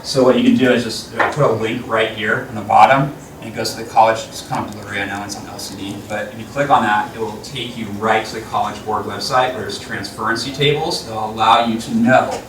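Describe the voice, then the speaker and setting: A man's voice: lowish voice, fairly even intonation, fairly quick, fairly bright tone, slight background noise. A man, a teacher, explaining things to parents informally. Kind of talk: addressing parents at a school open evening. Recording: echoey classroom from far off.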